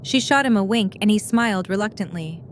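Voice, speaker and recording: A female narrator reads scripted story passages for an audio drama. Her pitch is average, and there is faint noise behind the voice. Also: brisk.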